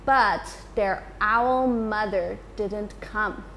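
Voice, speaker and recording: A woman in her thirties, a teacher, reading a picture book to children in an expressive storytime manner in a school library. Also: medium pitch, slight background noise, low-quality recording. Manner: slow, expressive, addressing children.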